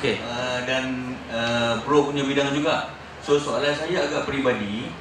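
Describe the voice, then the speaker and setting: A man's voice: mid-range voice, steady tone. Hesitant Malaysian man in an echoey hall. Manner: hesitant, halting, searching for words. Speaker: audience member asking a question. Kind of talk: spontaneous question from the floor. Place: large indoor conference venue. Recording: very echoey hall, distant mic, clear noise.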